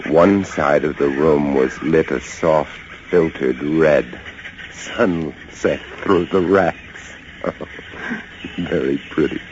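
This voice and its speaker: A man's voice: extremely low pitch, slow pace. An actor playing a haunted, intense lighthouse keeper, a man narrating an old-time radio horror story in a brooding, dramatic way. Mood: eerily calm. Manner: dramatic, brooding, swinging from hushed to feverish. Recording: muffled, noisy vintage radio recording.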